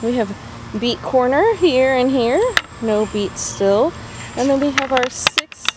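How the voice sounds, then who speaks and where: A woman's voice: high-pitched, animated, takes it slowly, heavy background noise. A woman vlogger giving a casual walking tour of her backyard vegetable garden, pointing out plants one by one, filmed on a handheld camera outdoors. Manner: chatty, pointing things out plant by plant. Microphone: handheld phone camera, filming while walking.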